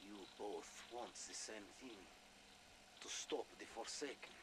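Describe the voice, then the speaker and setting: A bitter, world-weary Russian castaway played by a man speaks slowly and breathily with a Russian accent, halting as he goes. His low voice belongs to a dramatic game, over a clearly noisy rumble. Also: natural voice. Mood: wary. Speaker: trapped survivor on an intercepted call. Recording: clear background noise and rumbling ambience.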